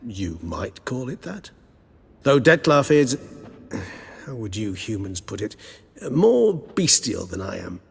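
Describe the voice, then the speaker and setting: A very husky, creaking man's voice, with long reverb around it. He is courteous and learned, with wry, measured, ornate turns of phrase suited to a fantasy game. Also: fairly low pitch, sing-song, exaggerated voice. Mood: earnest. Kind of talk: video game acting.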